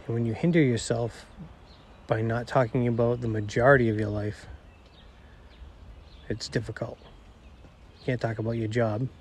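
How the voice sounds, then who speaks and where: A middle-aged man with a nasal voice hosting a podcast on his own, rambling and self-deprecating, talking quietly outdoors. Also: mid-range voice, steady tone, normal speed, long pauses, slight background noise. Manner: quiet, not projecting. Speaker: podcast host. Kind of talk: casual solo monologue.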